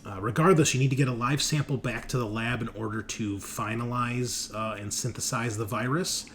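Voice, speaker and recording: A man narrating a recap as game master of a tabletop horror game, unscripted and halting, speaking directly to the players. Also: medium pitch, fairly even intonation, fairly bright tone. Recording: clear background noise.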